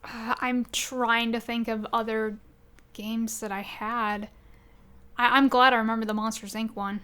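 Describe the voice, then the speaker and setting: A woman's voice: on the high side, little pitch movement, unhurried, pushed and bright, smooth. A woman co-hosting a podcast, chatting casually about video games in an enthusiastic, rambling way. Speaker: podcast co-host.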